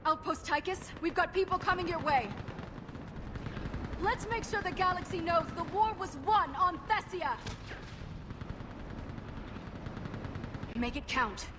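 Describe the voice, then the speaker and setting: A woman's voice: extremely high pitch, expressive, moderate pace, background noise. A woman playing a battle-hardened, defiant army lieutenant in a video game, urgent and commanding. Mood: resolute. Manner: urgent, barking orders. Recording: music and gunfire behind the voice.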